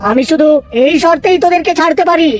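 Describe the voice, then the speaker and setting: A woman's voice: extremely high pitch, fast talker. A woman voicing a proud male lion in a cartoon, commanding and boastful. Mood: moved, conciliatory. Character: fierce male lion lord, boastful, softening. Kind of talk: cartoon voice acting. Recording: music behind the voice.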